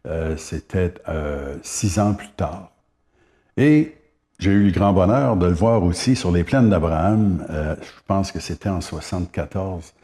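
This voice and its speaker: A man's voice: low-pitched, warm tone. A man in his seventies from Quebec's Gaspé Peninsula with a Québécois French accent, a singer-songwriter chatting as a guest and reminiscing unhurriedly with many hesitations. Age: 70s. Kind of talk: casual interview chat.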